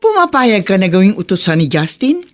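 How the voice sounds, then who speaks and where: An actress plays a disapproving middle-aged auntie in a radio drama. She nags sharply and reproachfully, with animated swings in pitch. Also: brisk, close to an everyday voice. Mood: scolding.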